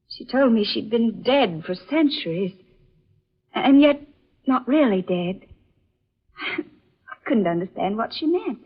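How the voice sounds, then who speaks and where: Scared young woman, halting melodrama, raspy edge, 1940s radio play, dull vintage sound. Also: on the high side, full character voice. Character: frightened young film actress haunted by a nightmare. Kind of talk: 1940s radio drama acting. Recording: muffled vintage radio recording.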